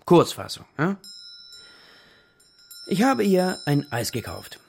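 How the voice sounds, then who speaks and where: A man's voice: a little high, very animated, takes it slowly, gravelly, soft background music, full character voice. An actor playing a reluctant but tender father in a German children's radio play. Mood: wry storytelling.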